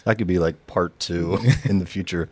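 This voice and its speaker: A man's voice: sits low, fairly even intonation, normal speed, no echo. A man hosting a podcast, asking his guest questions in an easygoing, joking way. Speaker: podcast host. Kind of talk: casual interview.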